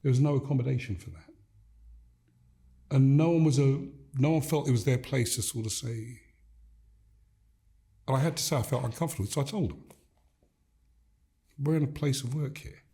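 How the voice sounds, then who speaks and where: An older man from Gambia, a leadership coach, talking in an interview in a measured, emphatic way that builds points through repeated phrases. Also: medium pitch, dark tone. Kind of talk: reflective interview conversation.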